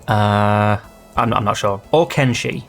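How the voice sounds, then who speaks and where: A man's voice: fairly low pitch, slow pace, creaky, soft background music. A man hosting his gaming channel, rambling and thinking aloud in casual gameplay commentary.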